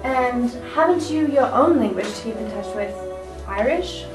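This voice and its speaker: A woman's voice: fairly high pitch, lively intonation, moderate pace. A young woman acting in an amateur school drama, delivering teasing, scolding lines. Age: young adult. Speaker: student actor. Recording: echoey room from far off, music behind.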